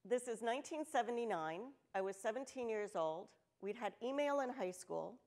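An older woman from New Jersey giving a conference talk on an auditorium stage, candid and lively, with joking asides. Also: fairly high pitch, moderate pace. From New Jersey, United States. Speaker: conference speaker. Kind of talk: storytelling keynote talk. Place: stage of a large auditorium with audience.

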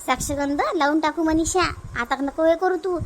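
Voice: high voice, normal speed, a little hiss or hum, lightly acted. A performer voicing a fussy, talkative, practical village mother in a Marathi family cartoon, with a Varhadi accent and a homely, rambling manner. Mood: explaining, insistent. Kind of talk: comic family cartoon dubbing.

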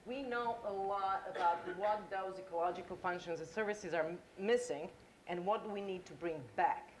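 A woman's voice: mid-range voice, moderate pace, a little hiss or hum. A middle-aged woman from Croatia with a Croatian accent, giving a conference talk in a lecture hall and asking the audience questions. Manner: engaging, asks the audience questions.

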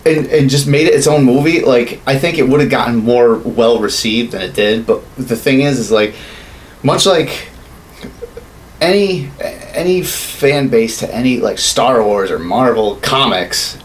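A man's voice: medium pitch, measured pace. A man in his thirties co-hosting a podcast in a casual, opinionated chat, rambling and swearing often. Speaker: podcast co-host. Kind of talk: casual opinionated chat about films and games. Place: small home studio room, indoors. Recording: clear background room noise.

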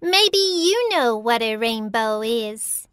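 A woman's voice: fairly high pitch, very animated, takes it slowly, fairly bright tone, clean tone, dry recording, studio quality, heavily acted. A woman voicing a sensible, kindly young girl explaining things in a children's cartoon. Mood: gentle, explaining.

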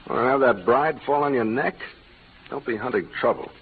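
An actor playing a level-headed, brusque cowboy partner in an old radio western, dry and clipped. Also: male voice, mid-range voice, normal speed, close to an everyday voice. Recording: tinny, noisy old radio recording.

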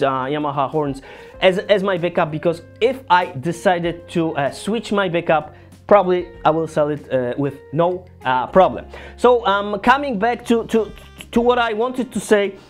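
Polish man talking saxophones, halting English. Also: high-pitched. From Poland. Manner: earnest, halting non-native English with many fillers. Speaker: saxophone teacher. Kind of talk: casual gear review to camera. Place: small indoor home studio.

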